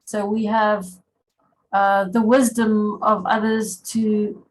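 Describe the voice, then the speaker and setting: Slow, earnest woman on a call. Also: medium pitch, level tone, slight background noise, rough recording. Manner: earnest, halting with restarts. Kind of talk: self-introduction on a video call.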